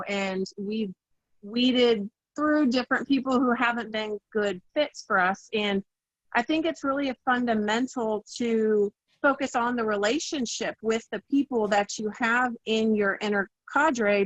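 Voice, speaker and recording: A woman's voice: fairly high pitch, no echo, lo-fi sound. A woman on an online call giving an informal personal account as an organizer of a community group. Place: online call from a rural home.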